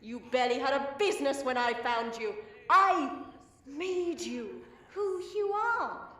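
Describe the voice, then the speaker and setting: Woman doing a high sing-song villainess, slow and theatrical, echoey room. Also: big pitch swings, bright, forceful tone, exaggerated voice. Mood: mocking. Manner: sing-song, mocking, calls people dearie. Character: gloating scheming villainess, mocking and theatrical. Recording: roomy, distant, faint noise.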